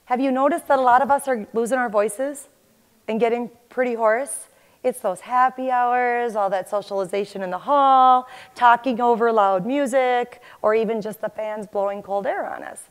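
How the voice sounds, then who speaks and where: Woman giving an animated conference talk. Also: a little high, normal speed, clear, resonant tone. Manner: animated, gestures while talking to the audience. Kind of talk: conference presentation. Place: large conference hall.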